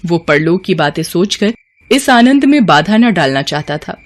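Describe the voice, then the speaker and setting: A man's voice: sits high, very animated, normal speed. A man narrating a scripted story as a storyteller.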